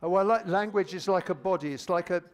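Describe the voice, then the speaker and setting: A fairly high-voiced older man speaks into a handheld microphone to a teachers' audience. He is lively and informal. He often stumbles and restarts as he echoes listeners' comments. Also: fast. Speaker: plenary speaker on teaching methodology. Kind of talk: live conference talk with audience discussion.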